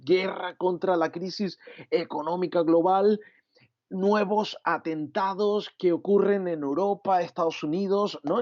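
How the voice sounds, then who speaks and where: A Venezuelan man preaching a sermon in a reflective way, often pausing with "eh" and adding "¿no?" at the end of his phrases. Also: high voice, lo-fi sound. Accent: Venezuelan Spanish. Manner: reflective, frequent "eh" and "¿no?" tags. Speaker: pastor.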